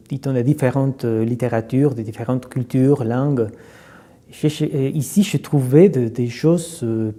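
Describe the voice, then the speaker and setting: Guy, translator, very dark mellow voice, lots of euh and restarts. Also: medium pitch. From Argentina. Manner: halting, many fillers and repeated words. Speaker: literary translator. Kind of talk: reflective interview.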